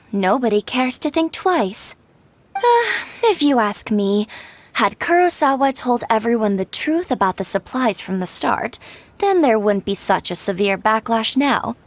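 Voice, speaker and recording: Lively-pitched, polite shopkeeper woman. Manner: courteous, measured, explanatory. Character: polite, composed, shrewd female grocery shopkeeper. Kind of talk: video game dialogue acting. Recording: faint music behind the voice.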